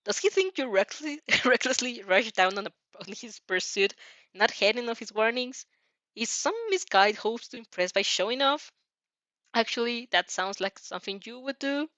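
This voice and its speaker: A woman's voice: on the high side, moderate pace, sharp tone, dry recording. A woman reading fan fiction aloud with drama, often stumbling over words and breaking into giggles. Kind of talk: animated dramatic reading of a story with giggly asides.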